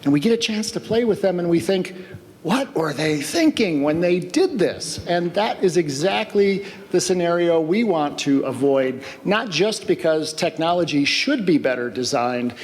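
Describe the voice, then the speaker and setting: Man, emphatic panel researcher, somewhat high voice, off-mic, long hall reverb. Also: animated, moderate pace. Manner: articulate, emphatic, speaks without a microphone. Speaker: researcher on the robot project. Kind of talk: panel discussion answer. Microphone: no microphone, picked up from across the room.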